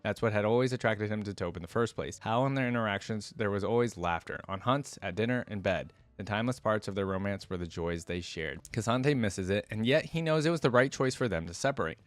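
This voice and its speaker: A man's voice: on the low side, a little fast, few pauses, dry recording. A man reading a story aloud expressively, breaking off for casual, informal commentary as a reaction creator.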